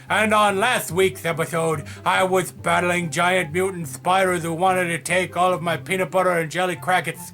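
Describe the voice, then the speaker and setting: A man's voice: fairly high pitch, pushed and bright, pitched below the performer's own voice. A man voicing a cute, childlike mascot host who rambles naively and hesitantly through a comic skit, eager to please. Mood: eager, boastful.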